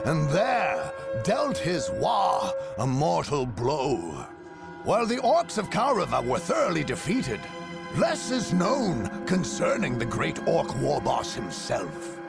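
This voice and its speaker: A man's voice: on the high side, vocal fry, exaggerated voice. A man narrating a sci-fi war game as a solemn chronicler, with theatrical, grave emphasis in a scripted style.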